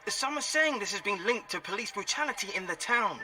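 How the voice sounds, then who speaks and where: A man's voice: sits high, animated, brisk, bright, forceful tone, full character voice. A man playing a pushy, eager reporter firing questions at a press conference in an audio drama. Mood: urgent, probing. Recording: distant mic, press scrum sound.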